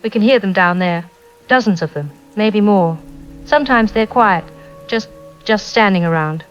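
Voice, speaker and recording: Over a dull phone line with rain and music behind, an actress plays a trapped woman survivor in an audio drama. She sounds fearful and worn out, speaking in halting fragments. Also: mid-range voice. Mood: frightened, weary. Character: frightened, exhausted woman survivor trapped by zombies.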